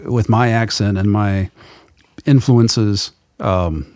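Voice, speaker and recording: A middle-aged man with a low voice, a musician guest talking in a loose, rambling way, laughing now and then. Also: slow pace, a little mellow, no room sound, studio quality. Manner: rambling, digressive storyteller with frequent laughs. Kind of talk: casual conversation.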